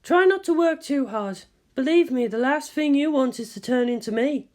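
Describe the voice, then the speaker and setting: Woman playing female anime scientist, senior mentor, high voice, dry, didactic, measured, world-weary. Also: expressive, a little mellow. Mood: weary, sad. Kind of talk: anime fan fiction character voice.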